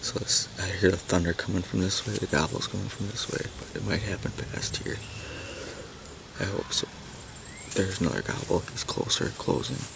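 A man in his thirties narrating his own hunt in a wet cedar swamp, his voice low and grainy with little lift. He talks in a hushed, plain way into a camera close by. Steady rain and outdoor noise sit under every line. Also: moderate pace, vocal fry. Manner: hushed, low-key field commentary. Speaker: hunter filming himself. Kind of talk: self-filmed outdoor vlog. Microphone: camera on a tripod at close range.

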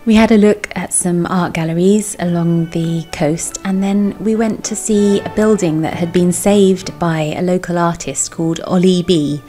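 A woman's voice: lowish voice, steady tone, moderate pace, hardly any pauses, warm tone. A woman in her thirties giving a chatty voice-over for her vlog. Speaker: vlogger. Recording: background music. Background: music.

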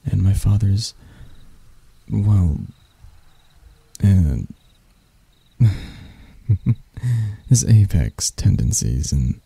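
A man voicing a sadistic, seductive male spider-elf predator in intimate ASMR roleplay, breathy and teasing with his endearments. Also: low voice, mellow, heavily acted. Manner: slow, teasing, purring endearments.

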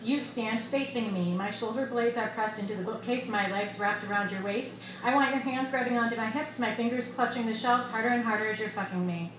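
A middle-aged woman reading her own short fiction aloud at a live event, in a measured, expressive storytelling delivery. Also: on the high side. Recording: echoey bar room with loud background noise.

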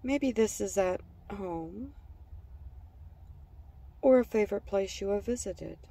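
A woman narrating a scripted guided relaxation for children in a gentle, soothing manner, leaving pauses between phrases. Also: medium pitch, far from the mic.

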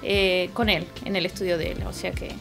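Calm porteña woman, middle-aged. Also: on the low side, moderate pace, music in the background. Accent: Buenos Aires. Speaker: guest. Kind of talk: casual interview talk. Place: living room, indoors.

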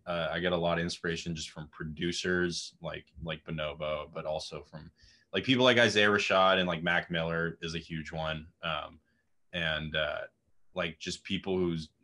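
Laid-back raspy young man on a video call. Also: low voice, steady tone, normal speed, sharp tone. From Austin, Texas. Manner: laid-back, rambling, lots of fillers. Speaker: guest musician. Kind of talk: casual interview chat. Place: home room with music gear. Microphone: video call webcam.

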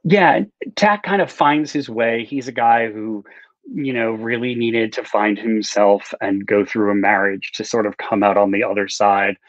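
A man's voice: fairly low pitch, unhurried, no echo. A middle-aged man giving casual, rambling interview answers with self-deprecating asides over a video call. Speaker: author, interview guest. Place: indoor room with bookshelves, on a video call. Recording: slightly dull video-call audio.

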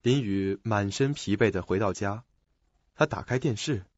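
A man's voice: fairly low pitch, normal speed, darkish tone, no echo. A man narrating a scripted audio drama on a lo-fi recording. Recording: dull lo-fi recording, faint music behind.

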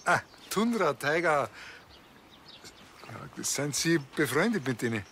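Cheeky Bavarian cop, noisy background. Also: male voice, a little high, sing-song, slow, pushed and bright, exaggerated voice. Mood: cheeky, chatty. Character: cheeky, chatty male Bavarian policeman. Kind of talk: TV crime comedy acting. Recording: clearly noisy background ambience.

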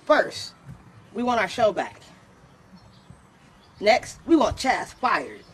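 Demanding puppet band leader played by a man, comedy skit; rough-edged, very animated delivery, bossy and emphatic. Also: high voice, slow pace, exaggerated voice.

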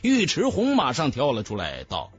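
A man's voice: on the high side, wildly swooping pitch, moderate pace, slightly rough, soft background music. A man narrating a fantasy novel as a scripted audiobook, with emphatic, dramatic storytelling.

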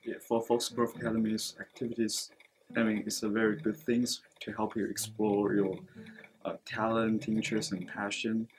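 A man's voice: on the low side, flat delivery, moderate pace, a little hiss or hum, rough recording. A young man, a student speaking earnestly in a seated interview, with many fillers. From China. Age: late teens. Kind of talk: interview testimonial. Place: school library with bookshelves, indoors. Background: soft background music.

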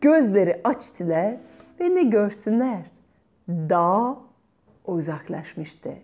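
A middle-aged woman telling a children's fairy tale expressively, with dramatic pauses. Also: mid-range voice, lively intonation, warm tone. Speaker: children's storyteller. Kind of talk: performed fairy-tale storytelling. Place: bright room at home by a window. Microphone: small clip-on lapel mic.

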